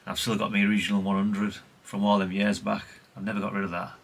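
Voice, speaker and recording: British man, middle-aged, bright but husky low-pitched voice, chatty, rambling, casual hobby show-and-tell. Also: monotone, fast. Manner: chatty, rambling, self-correcting, tag questions. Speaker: hobbyist video host.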